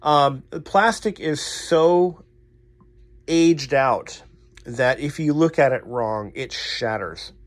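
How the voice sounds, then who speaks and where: A man's voice: mid-range voice, slow pace. Man explaining casually, blunt and informal. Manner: informal, blunt, self-deprecating. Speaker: radio repair technician and channel host. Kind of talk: casual explanatory vlog talk.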